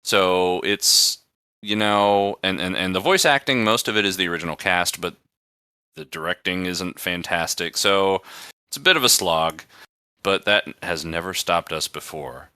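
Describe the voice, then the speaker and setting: A man with a very low voice and a strikingly bright, forceful edge hosts a casual podcast. He talks in a loose, rambling way, and every word comes through crisp and close in a dry recording. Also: measured pace, dry recording. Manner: rambling, conversational. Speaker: podcast host. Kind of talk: casual chat.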